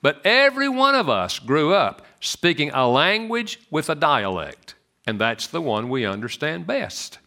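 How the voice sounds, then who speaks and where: Animated Southern man speaking on marriage. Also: unhurried, few pauses. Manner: animated and joking, swoops in pitch. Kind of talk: church talk to an audience.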